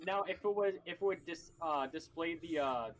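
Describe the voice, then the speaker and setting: A man's voice: on the high side, moderate pace, background noise. A young man chatting casually with friends on a group call.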